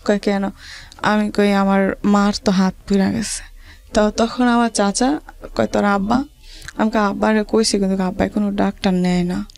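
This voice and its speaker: A woman with a rural Bangladeshi accent, telling her life story to an interviewer in halting, repetitive dialect. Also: medium pitch, flat delivery, vocal fry, darkish tone.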